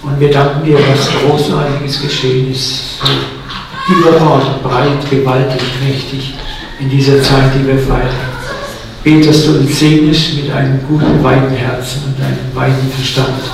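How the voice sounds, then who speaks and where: A man's voice: medium pitch, flat delivery, moderate pace, background noise. A man in his sixties preaching a sermon in a casual, personal and humorous way. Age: 60s. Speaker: guest preacher. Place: church hall. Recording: echoey hall, mic from far off.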